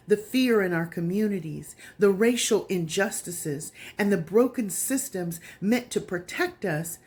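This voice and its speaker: Slow-paced woman reading an open letter. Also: fairly low pitch, darkish tone. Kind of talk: earnest spoken-word reading of an open letter.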